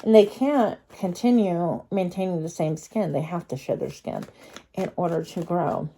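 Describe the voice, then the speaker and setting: A woman's voice: on the low side, moderate pace, creaky, warm tone, slightly rough. A woman with a cold and a congested voice, giving a casual, chatty tarot reading to her viewers with frequent fillers and restarts. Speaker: tarot card reader.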